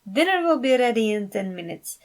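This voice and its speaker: A woman voicing a warm, nosy, affectionate sister and mother who teases and gently bosses. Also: fairly high pitch, very animated, fairly quick. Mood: affectionate, teasing. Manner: affectionate, teasing.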